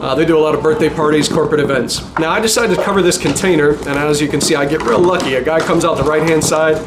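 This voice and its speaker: A man's voice: fairly high pitch, fast talker, noisy background. A man giving casual voice-over commentary on his own paintball game footage, chatty and self-deprecating, with small chuckles.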